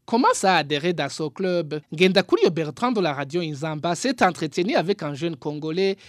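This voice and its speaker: A man presenting a weekly radio magazine, reading his script in a measured, formal way. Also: a little high, lively intonation, dry recording, clean studio sound. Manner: measured, formal read delivery.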